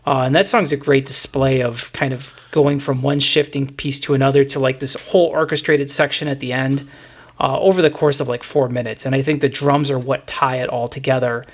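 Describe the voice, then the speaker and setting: Man, mid-pitched, podcast co-host, casual music talk, opinionated, enthusiastic. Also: level tone, a little fast.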